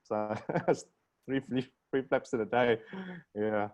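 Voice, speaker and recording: A man's voice: medium pitch, steady tone, slow pace, a little mellow, dull audio. A middle-aged man with a South African accent giving an informal webinar lecture on a video call, joking and self-deprecating. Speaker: guest lecturer, plastic surgeon. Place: indoor room at home, video call.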